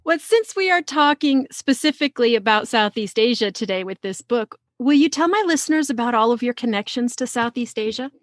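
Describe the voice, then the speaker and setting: A woman's voice: a little high, lively intonation, brisk, hardly any pauses, no room sound, studio quality. A woman hosting a book podcast, chatty and upbeat, reading a scripted welcome before she starts interviewing her guest. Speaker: podcast host.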